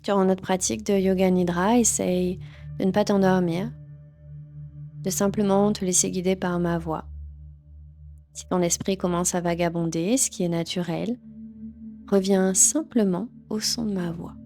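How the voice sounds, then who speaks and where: A woman with a soft, mellow tone leads a guided relaxation as a yoga teacher. Her delivery is gentle and soothing as she gives instructions, at a medium pitch for a woman. Faint music plays behind her. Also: fairly even intonation, moderate pace. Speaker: yoga nidra teacher guiding a session. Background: background music.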